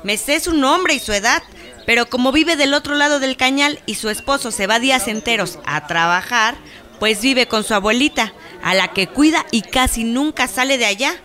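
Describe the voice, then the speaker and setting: High, bright-voiced anxious Mexican countrywoman. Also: expressive, moderate pace. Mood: alarmed, exasperated. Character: Esperanza, anxious pregnant countrywoman helping her cousin. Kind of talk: Spanish-language radio drama acting.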